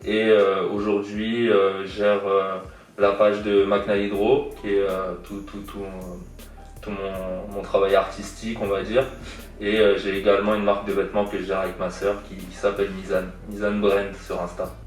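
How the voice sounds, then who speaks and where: A young man answers interview questions casually, stalling on fillers and repeating words as he thinks. His voice sits a bit low. The sound is very echoey and distant, with faint music behind. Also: completely flat delivery, normal speed. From born in Iran, raised in France. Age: young adult. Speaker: guest artist. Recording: echoey room, distant from mic.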